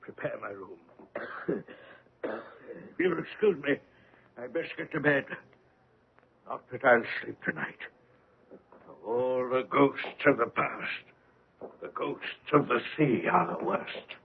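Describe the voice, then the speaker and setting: An actor playing an ailing, secretive old gentleman in a radio drama, halting and stern in a formal manner. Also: mid-range voice, expressive, vocal fry, a little hiss or hum. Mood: weary, haunted. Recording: muffled vintage radio broadcast.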